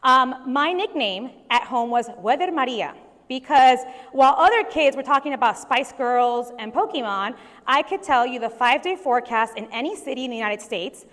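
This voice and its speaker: High-voiced woman speaking in a hall. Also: lively intonation, moderate pace, fairly bright tone. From Venezuela. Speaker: keynote speaker. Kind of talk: conference keynote speech. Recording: long hall reverb.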